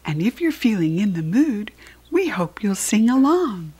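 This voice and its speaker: An American woman reading a picture book aloud to young children, expressive, with a breathy voice. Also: mid-range voice, unhurried. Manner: expressive, addressing small children.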